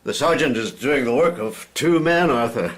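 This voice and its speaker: A male actor plays an elderly clergyman in a Victorian radio drama. He speaks genially and with old-fashioned courtesy, in a medium-pitched voice with very varied intonation. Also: lively intonation, normal speed, close to an everyday voice. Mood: courteous, considered.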